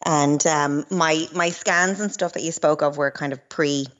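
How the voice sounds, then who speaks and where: A woman's voice: sits low, steady tone, unhurried, fairly bright tone, highs cut off. A woman in her thirties, a new mother, tells her story hesitantly with many ums in a radio interview.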